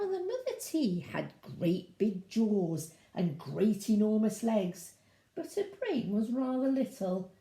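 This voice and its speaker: Woman storyteller reading to kids, mellow n creaky voice, sing-song, echoey room. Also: medium pitch. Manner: sing-song, addresses young listeners. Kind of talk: expressive children's storybook reading with asides.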